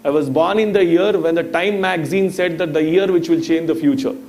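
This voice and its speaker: A man's voice: on the high side, brisk, darkish tone, slight background noise. A man in his thirties with an Indian accent, speaking as a guest in a motivational talk, teaching through stories and rhetorical questions. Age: 30s. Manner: didactic, storytelling, rhetorical questions.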